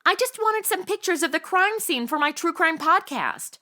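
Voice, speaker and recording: An actress playing a breezy, tactless young podcaster with flippant modern slang in a comedy audio drama. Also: female voice, extremely high pitch, expressive, moderate pace, bright, forceful tone, exaggerated voice. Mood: chatty.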